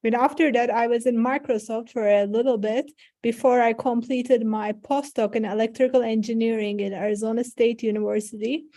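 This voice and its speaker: Warm-voiced woman lecturing. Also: fairly high pitch, steady tone, normal speed. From Turkey. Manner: friendly, explanatory, many fillers. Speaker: professor giving an invited talk. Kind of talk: online conference presentation. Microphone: video call.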